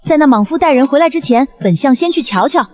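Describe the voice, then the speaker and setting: A woman voicing a small, cute but proud male rabbit spirit in an animated historical drama, curt and haughty. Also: sits high, fast talker. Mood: curious, proud.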